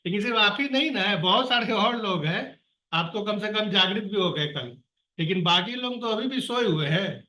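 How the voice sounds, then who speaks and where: A man speaks on a video call, earnestly pressing his colleagues to act. His voice sits high and sounds bright and tense. He is heard from some distance in an echoey room. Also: expressive, normal speed. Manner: earnest, exhorting, exasperated pleading. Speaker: project coordinator on a staff call. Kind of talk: online meeting, urging staff. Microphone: computer or phone on a video call.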